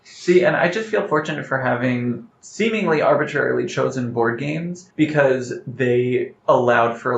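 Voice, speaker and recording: A man with a middle-pitched voice answers as a guest in an interview. The speech is articulate and explanatory, told like anecdotes. The sound is echoey and a little dull. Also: gravelly. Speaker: playwright guest. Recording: roomy, slightly dull sound with faint noise.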